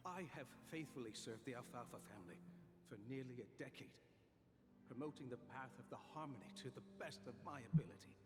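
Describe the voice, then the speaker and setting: A man's voice: medium pitch, expressive, quick pace, natural voice. An actor playing a humble, guilt-ridden male servant in a video game, speaking hesitantly and deferentially. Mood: guilty, anxious. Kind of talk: scripted game voice acting. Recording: long hall reverb, music behind.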